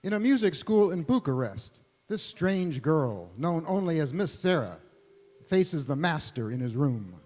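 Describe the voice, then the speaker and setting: A man's voice: a little high, sing-song, unhurried, darkish tone. A man playing a theatrical old-time Hollywood radio host narrating a drama. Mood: solemn, dramatic. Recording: echoey hall, long reverb.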